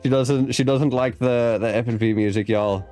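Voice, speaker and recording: A man's voice: medium pitch, steady tone, normal speed. A man from South Africa with a South African accent, whose first language is Afrikaans, hosting a casual interview and chatting in a rambling way with many restarts. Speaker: host. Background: soft background music.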